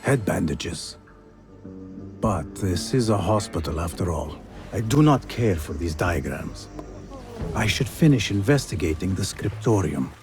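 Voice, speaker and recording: Calm, terse young assassin for a video game, low register, music underneath. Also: male voice, measured pace, heavily acted. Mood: watchful, musing. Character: calm, watchful young male assassin investigating. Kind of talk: video game voice acting, muttered observations.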